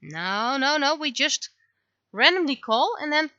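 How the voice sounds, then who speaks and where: A woman livestreamer chatting casually and venting in an animated, exasperated way, acting out imagined exchanges as she goes. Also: high voice, a little slow, no room sound, lo-fi sound. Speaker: livestreamer, webcomic artist. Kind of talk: casual livestream chat, ranting.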